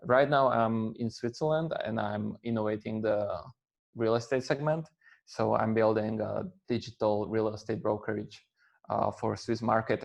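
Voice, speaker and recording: A man in his thirties from Slovakia, an English speaker, talking as an interview guest in a halting way with many fillers and restarts. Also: fairly low pitch, creaky, a little mellow, dull audio. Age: 30s. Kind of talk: conversational interview.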